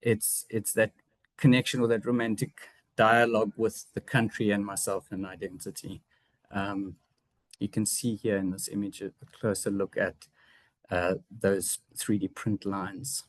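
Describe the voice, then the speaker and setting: An artist from South Africa with a South African English accent, giving a reflective seminar presentation about his own work, pausing often with fillers and restarts. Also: fairly low pitch, little pitch movement, no echo. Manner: hesitant, frequent fillers and restarts; reflective, personal.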